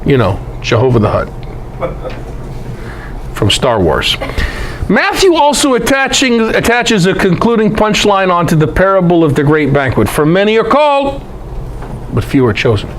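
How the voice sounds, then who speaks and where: A man's voice: high voice, sing-song, normal speed, pauses often, a little hiss or hum, rough recording. A man giving an informal, irreverent scripture lecture in an emphatic way, often putting rhetorical questions to his listeners. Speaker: Bible teacher, presenter.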